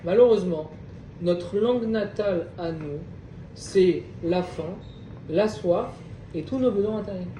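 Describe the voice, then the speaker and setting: A man with a high voice leads a study class in a roomy space. He stands some way off the mic, with a faint hum behind him. He reads a passage, unpacks it, and keeps asking his listeners whether they follow. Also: measured pace, mellow. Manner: reads a passage, then explains it with questions to the group. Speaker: Torah class teacher. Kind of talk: reading Hebrew text and explaining in French. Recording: echoey room, distant mic, faint background noise.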